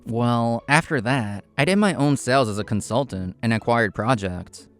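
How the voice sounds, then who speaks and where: Guy acting a stubborn proud young workaholic in a manga drama, very animated pitch, music behind him. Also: normal speed, smooth, close to an everyday voice. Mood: earnest narrating. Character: proud, competitive, stubborn young consultant man, easily flustered. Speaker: narrator and main character. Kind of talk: scripted manga drama narration and dialogue.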